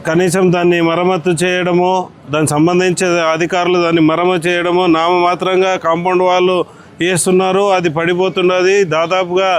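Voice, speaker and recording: Man, Rayalaseema Telugu accent, high even pitch, political press statement, faint ambient noise. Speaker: political party leader.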